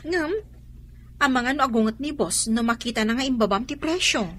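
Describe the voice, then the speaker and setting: A woman's voice: a little high, a little fast, a bit bright. A woman playing a cautious, doubtful shop co-worker with an Ilocano Filipino accent in a radio drama, full of questions.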